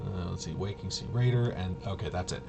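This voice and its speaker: Guy with a lowish voice kinda mumbling thru a game walkthrough, thinking out loud, noisy background. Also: dull audio. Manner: halting, hesitant, muttering to himself. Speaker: host of a tabletop RPG video. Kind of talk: casual walkthrough, thinking aloud. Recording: noisy room, clearly audible background noise.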